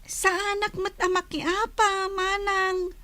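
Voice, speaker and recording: A very high, girlish voice with an Ilocano accent. She is a feisty, sharp-tongued young girl out to get even, played for a radio drama. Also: slow, exaggerated voice. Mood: defiant.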